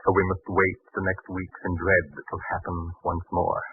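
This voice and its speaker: An actor playing a troubled, doubting father in biblical times, grave, halting and earnest, in 1940s radio drama style. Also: sits low, monotone, soft background music. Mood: resigned, grave. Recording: muffled old 1940s radio broadcast, phone-like.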